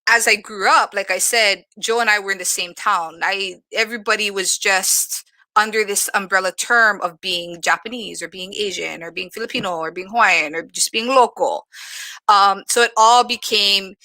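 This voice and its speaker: A woman's voice: mid-range voice, normal speed, bright, forceful tone, no echo, rough recording. A woman speaking earnestly and personally as a moderator in a reflective panel discussion.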